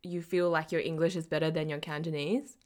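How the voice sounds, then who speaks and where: A young woman hosts a casual conversation, asking questions in an informal, friendly-chat style. Her voice sits slightly low for a woman. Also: level tone, brisk, a little mellow. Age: young adult. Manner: informal, giggly. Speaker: podcast host.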